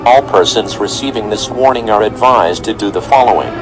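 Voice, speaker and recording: A man playing a grave emergency broadcast announcer, reading formal, measured warnings in a scripted alert. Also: fairly low pitch, lightly acted. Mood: grave, urgent. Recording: very noisy emergency broadcast audio.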